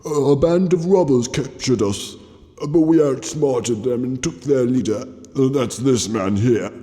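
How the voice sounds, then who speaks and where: Guy playing a scheming crook in a radio drama, sardonic and condescending, really dull dark tone, mid pitch. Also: unhurried, lightly acted. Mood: smooth lying. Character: scheming, sarcastic kidnapper driver, the smarter henchman. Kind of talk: amateur radio drama acting.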